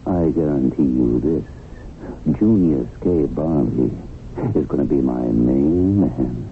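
A man's voice: a bass voice, takes it slowly, vocal fry, full character voice. A man playing a boastful, smug agent of evil in a radio drama, taunting with mock apologies. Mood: smug menace. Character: confident, boastful male agent of evil, a devilish tempter. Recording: tinny, band-limited radio recording with faint noise.

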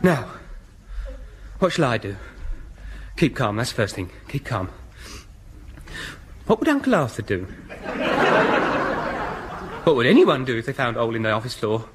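An actor playing an eager, naive young English bank clerk and home guard private in a radio sitcom, boyish and earnest. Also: male voice, mid-range voice, sing-song, normal speed, pauses often, natural voice. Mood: flustered. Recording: slightly noisy old radio recording.

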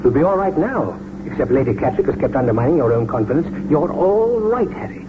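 An actor playing a kindly, determined older country doctor in earnest, theatrical 1940s radio drama style. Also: male voice, a little high, big pitch swings, brisk, noisy background. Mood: reassuring, earnest. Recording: muffled 1949 radio broadcast, music behind.

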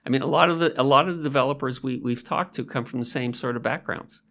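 A man hosting a podcast interview, asking measured, thoughtful questions. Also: medium pitch, gravelly, a bit bright. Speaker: podcast host.

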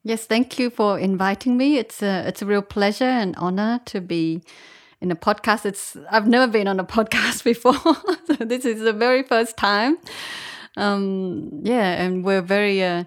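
Halting woman from Vietnam and Australia, reflective. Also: medium pitch. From born in Vietnam, grew up in Australia from age eight. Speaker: podcast guest, Buddhist nun. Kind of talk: reflective personal storytelling in an interview.

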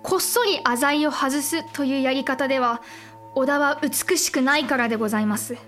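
A high, poised woman's voice as a shrewd young lady of a samurai house. She speaks in formal archaic phrasing, measured and sure of herself, in a period audio drama with faint music behind her. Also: a bit bright. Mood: composed, assured. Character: composed, shrewd young noblewoman of warring-states Japan.

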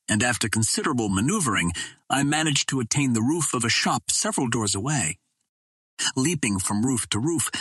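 Guy reading an old adventure novel aloud, dramatic, raspy lowish voice, no room sound. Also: fairly bright tone, dry recording. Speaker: audiobook narrator. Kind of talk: scripted narration of an adventure novel.

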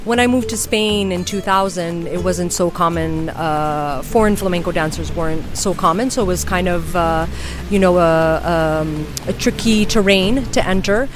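Woman guest from the US, lowish voice, hesitant and reflective, clear music bed underneath. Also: moderate pace. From United States. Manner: thoughtful, many ums and uhs. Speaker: flamenco dancer, guest. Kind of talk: casual interview answers. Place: outdoors on a beach.

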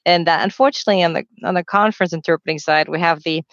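A woman's voice: on the low side, very fast, dry recording. Talkative woman on dull, dry audio. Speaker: court and conference interpreter, guest. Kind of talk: conversational panel discussion.

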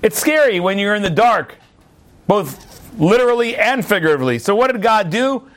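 High-voiced man teaching with animated asides. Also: normal speed. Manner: animated, repeats words mid-sentence; chatty asides to listeners. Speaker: Torah teacher. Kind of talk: storytelling sermon-style lesson.

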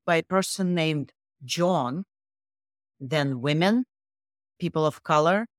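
Middle-aged woman, low voice, foreign accent, emphatic interview guest, dry sound. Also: slow, no echo. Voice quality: low voice with a non-native accent. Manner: emphatic, leans on facts and figures. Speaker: guest author. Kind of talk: interview conversation.

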